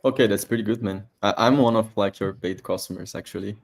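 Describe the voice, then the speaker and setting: A man's voice: lowish voice, quick pace, gravelly, a little hiss or hum. A young man from Brazil, a native Portuguese speaker hosting a live stream, speaking English in a friendly, joking way with lots of laughter. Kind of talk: casual interview on a live stream.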